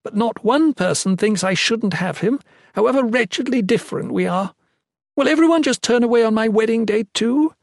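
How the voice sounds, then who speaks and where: A man voicing a high-born young woman in an audiobook, bitter, imperious and anguished, speaking with theatrical, sarcastic emphasis. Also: very animated, moderate pace, few pauses, darkish tone, no echo, clean studio sound. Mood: anguished rage.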